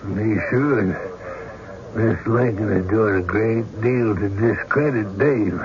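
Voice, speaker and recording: A man's voice: lowish voice, takes it slowly. An actor playing a plain-spoken, unsure country man in a radio drama, talking in a hesitant, folksy way. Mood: pondering. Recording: noisy, dull old radio recording.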